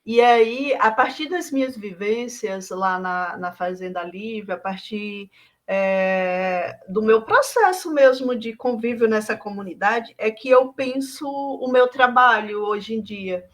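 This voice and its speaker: A Brazilian woman artist from Bahia, talking through her work in a reflective, halting way with many fillers and restarts. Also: mid-range voice. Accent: Brazilian Portuguese. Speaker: visual artist.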